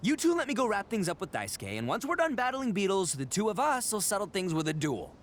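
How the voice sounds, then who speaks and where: An actor playing a boisterous horned oni man in a video game, loud and boastful, stammering as he bluffs. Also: high-pitched, wildly swooping pitch, fast talker, slight background noise. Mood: coaxing. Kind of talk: video game cutscene acting. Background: game music.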